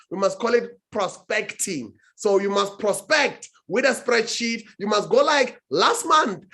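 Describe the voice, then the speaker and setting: A man's voice: very high-pitched, a bit bright. A man, a guest speaker on a talk show, giving emphatic advice with frequent restarts and searching for words. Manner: emphatic, with many restarts and "what do you call it". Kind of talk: motivational talk-show answer.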